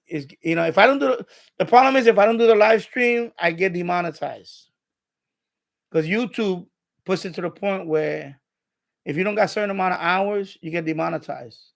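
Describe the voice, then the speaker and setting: A man's voice: sits high, gravelly. An older man hosting a live stream with casual, rambling chat, often hesitating and repeating himself.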